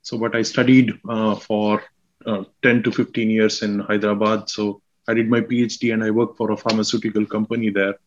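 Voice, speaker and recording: A man from South India with a South Indian accent, a guest scientist explaining slowly with many fillers and restarts. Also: on the low side, flat delivery, dull audio. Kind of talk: explaining science in a casual chat.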